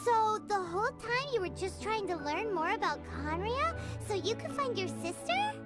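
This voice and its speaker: Tiny squeaky game fairy girl, super high, chirpy n curious, bouncy pitch, music behind. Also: sing-song, moderate pace. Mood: chirpy, earnest. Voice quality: squeaky, childlike. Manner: exclamatory, refers to herself in third person. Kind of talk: video game voice acting.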